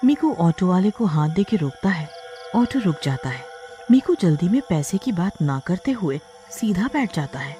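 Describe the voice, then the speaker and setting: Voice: medium pitch, very animated, moderate pace, mellow. Narrator telling a scary cartoon story, scripted read, music going behind. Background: background music.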